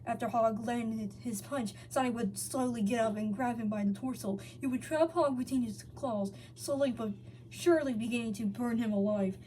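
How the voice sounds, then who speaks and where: A woman with a fairly high voice narrates a dramatic fan story. She reads aloud with occasional stumbles and self-corrections, and there is clear noise on the recording. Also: moderate pace, warm tone, poor audio. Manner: stumbles over words and corrects herself. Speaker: story reader. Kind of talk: dramatic reading of fan fiction.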